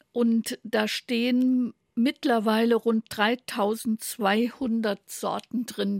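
A woman hosting an interview, her voice a little high with a strong gravelly fry. She asks long, winding questions in a chatty, curious manner. The sound is dry and close. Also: darkish tone, no room sound. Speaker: interviewer, host.

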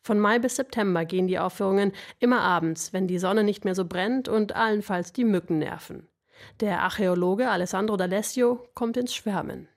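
Creaky-voiced female radio reporter. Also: mid-range voice, a little fast. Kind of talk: scripted narration with voice-over translation.

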